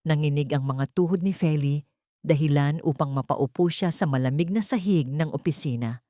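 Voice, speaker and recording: A woman's voice: low voice, moderate pace, dark tone, dry recording, studio quality. A woman with a Filipino accent narrating a scripted dramatic story.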